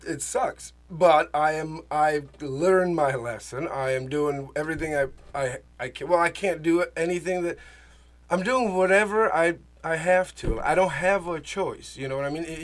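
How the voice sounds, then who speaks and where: Rambling, higher-pitched Czech-born man. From Czech Republic. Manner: rambling, halting, repeats himself, searches for words. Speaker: interview guest, small business owner. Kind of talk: casual, personal interview talk.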